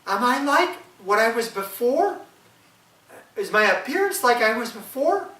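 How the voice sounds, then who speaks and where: Brief: a man putting on a young island wife, shrill and insistent, bright edge, echoey room from far off. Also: very animated, unhurried, exaggerated voice. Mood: urging. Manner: high sharp pleading voice.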